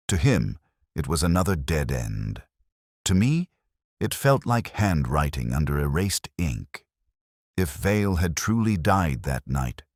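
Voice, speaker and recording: A man playing a weary, cerebral police inspector, narrating a detective audio drama in a measured, brooding, literary way with a gravelly voice. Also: a bass voice, no room sound, studio quality, full character voice. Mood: measured, sceptical.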